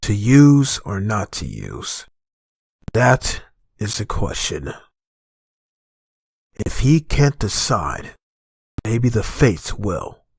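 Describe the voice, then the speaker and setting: Male comic narrator: low and husky, gritty fry, dramatic scripted read. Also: wildly swooping pitch, very slow, pauses often, no echo, clean studio sound. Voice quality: husky, gravelly.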